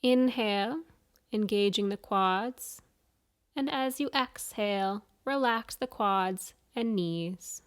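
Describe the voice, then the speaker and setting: Female meditation guide, unhurried and soothing, clear smooth tone, mid-range pitch, leaves space between instructions. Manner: soothing, measured instructions with pauses. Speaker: yoga nidra guide.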